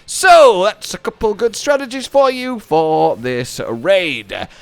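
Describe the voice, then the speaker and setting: Animated, high-pitched male gaming guide narrator. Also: slow pace, vocal fry, smooth, no echo. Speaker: gaming video host. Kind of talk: casual scripted guide narration.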